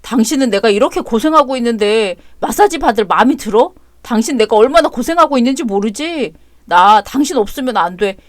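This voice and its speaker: A woman's voice: on the high side, expressive, brisk, dry recording, studio quality, lightly acted. A woman voicing an overwhelmed young father who pleads and whines. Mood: pleading. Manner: pleading, whiny.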